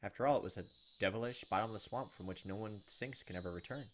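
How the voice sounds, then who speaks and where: A man's voice: lowish voice, fast, background noise. A young man hosting a gaming video, reading visual novel narration aloud with casual asides. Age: young adult.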